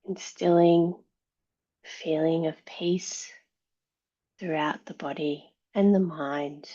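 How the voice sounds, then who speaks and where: A woman teaching yoga, leading a guided meditation in a calm, measured, soothing way with long pauses between phrases. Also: low voice, highs cut off.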